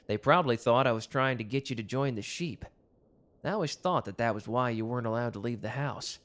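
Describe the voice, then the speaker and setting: Man playing a young male love interest, medium pitch, casual, intimate, teasing, bashful, romantic audio roleplay. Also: a little fast, a bit bright, close to an everyday voice. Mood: nostalgic.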